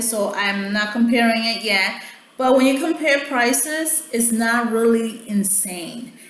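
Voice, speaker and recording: A woman whose first language is Spanish, talking casually to viewers about products she bought and often checking in with "okay?". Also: fairly high pitch. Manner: chatty, keeps checking in with "okay?". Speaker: beauty vlogger. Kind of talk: casual product haul chat. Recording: echoey room, faint background hum.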